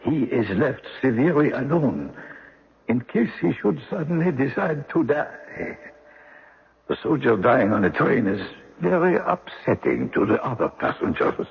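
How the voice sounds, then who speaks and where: An actor playing a wounded French lieutenant, wry and loyal, with a husky, breathy voice in a radio drama. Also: male voice, medium pitch, very animated, a little slow, exaggerated voice. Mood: wry, cheerful. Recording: muffled old radio broadcast.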